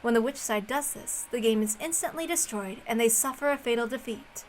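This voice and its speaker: A woman doing an expressive amateur read-aloud of a visual novel in a casual, homemade way. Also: fairly high pitch, fairly quick, a little hiss or hum.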